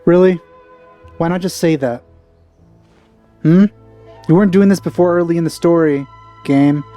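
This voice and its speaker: A man's voice: on the high side, normal speed, warm tone. A man giving casual let's play commentary, with dry, grumbling asides. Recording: game music behind the voice.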